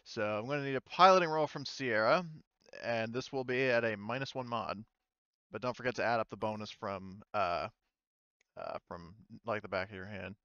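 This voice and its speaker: A man narrating a tabletop game casually as game master, halting and informal in his delivery. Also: fairly low pitch, pushed and bright, clear, resonant tone, no room sound, highs cut off. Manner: halting, informal, mild swearing.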